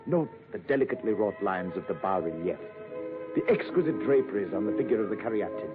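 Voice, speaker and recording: An actor playing a pompous, cultured dealer in priceless antiquities in an old-time radio detective drama, with florid, formal diction. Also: male voice, on the low side, animated, fast, lightly acted. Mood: reverent. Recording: tinny vintage radio, faint music.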